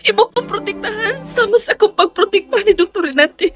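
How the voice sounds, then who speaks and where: A woman playing an emotional, pleading young woman torn by secret love in a Cebuano radio drama. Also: a little fast. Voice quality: high-pitched. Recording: music under the voice.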